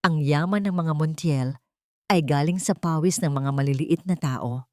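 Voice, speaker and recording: A woman voicing a resolute, forgiving young mother with a solemn, measured delivery in an audiobook drama. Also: low voice, a little mellow, dry recording, clean studio sound, natural voice.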